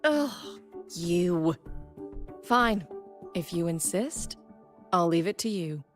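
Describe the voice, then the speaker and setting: A woman's voice: on the low side, lively intonation, takes it slowly. A woman voicing a stern, dutiful female academy staff member in an anime-style video game, businesslike and reproving. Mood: exasperated. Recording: music behind.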